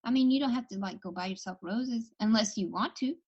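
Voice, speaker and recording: A woman hosting a call-in tarot show, giving reassuring advice in a relaxed, chatty way. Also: on the high side, fairly quick, highs cut off. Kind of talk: casual advice chat.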